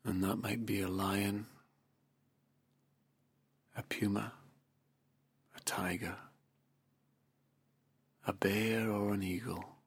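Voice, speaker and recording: A man guiding a hypnosis session, speaking softly and slowly in a half-whispered, soothing delivery. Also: fairly low pitch, little pitch movement, breathy edge, dry recording. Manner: soft, slow, half-whispered. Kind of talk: guided hypnosis narration.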